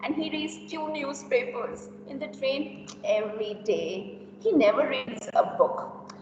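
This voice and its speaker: A woman's voice: high-pitched, measured pace. A woman playing a tactless, gossipy and judgmental young woman in an amateur classroom play. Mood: gossipy, scornful. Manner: exaggerated, animated, giggly reactions. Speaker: teacher acting in a classroom reader's theater. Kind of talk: amateur reader's theater performance. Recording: dull, echoey hall from far off, hum.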